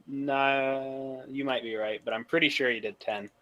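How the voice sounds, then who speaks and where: A man's voice: medium pitch, fairly even intonation, measured pace, dull audio. A man on a podcast panel chatting casually and giving his opinions. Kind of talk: casual chat, opinionated commentary.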